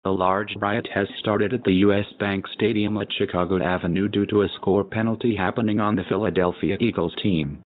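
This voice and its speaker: Male emergency alert voice, synthetic and flat, very low pitch, dull broadcast sound. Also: no echo.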